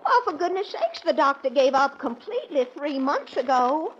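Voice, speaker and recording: A woman's voice: high voice, very animated, normal speed. A woman playing a coy, easily indignant Southern belle with a genteel American Southern accent, in theatrical 1940s radio comedy style. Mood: huffy, chatty. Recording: muffled, faintly noisy old radio recording.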